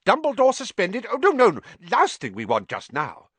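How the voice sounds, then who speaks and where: A flustered official played by a male reader, pitched well up from a normal male register. He dithers and stammers in anxious protest, his voice jumping about in a storybook scene. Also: huge pitch swings, moderate pace, a bit bright, husky, no room sound, heavily acted. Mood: alarmed. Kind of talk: audiobook character acting.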